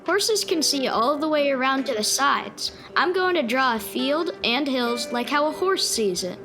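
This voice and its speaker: A woman playing a calm eight-year-old boy in a radio play, speaking in simple, matter-of-fact childlike phrases. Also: high voice, measured pace, soft background music, full character voice. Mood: earnest.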